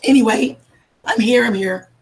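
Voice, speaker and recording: A woman, losing her voice from a cold, chatting warmly with viewers on a live stream and calling them darling and sweetheart. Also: on the high side, normal speed. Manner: affectionate, calls everyone darling and sweetheart. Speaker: livestream host. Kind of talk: casual live chat greeting viewers.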